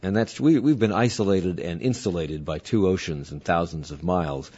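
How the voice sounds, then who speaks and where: Deep husky guy hosting a talk show, slow and deliberate, kinda dull sound. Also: mellow. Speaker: radio talk show host. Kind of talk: interview discussion.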